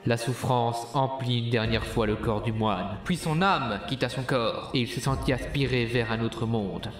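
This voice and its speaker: A man narrating a dark fantasy audio drama as a solemn storyteller, reading from a script. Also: mid-range voice, normal speed, roomy sound, soft background music, lightly acted.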